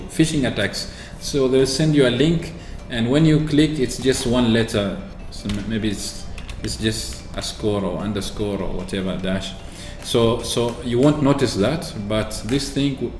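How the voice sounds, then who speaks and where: A man from Oman chatting casually through a software walkthrough, explaining with fillers and restarts. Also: medium pitch. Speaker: tech video host. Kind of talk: screen-recorded software walkthrough. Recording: echoey room with faint ambience.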